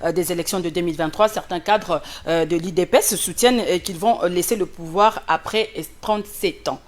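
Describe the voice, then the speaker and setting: A woman hosting a political interview in a conversational way, often pausing on fillers as she builds her questions. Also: lowish voice. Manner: hesitant phrasing with many drawn-out fillers. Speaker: interviewer, host.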